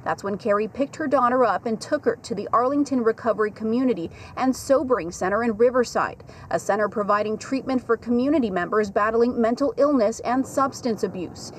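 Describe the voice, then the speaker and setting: A woman's voice: a little high, a little hiss or hum. Woman reporting live outdoors on TV news. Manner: measured, clear broadcast delivery. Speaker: field reporter. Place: outdoors at a rehab facility at dusk. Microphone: handheld station mic.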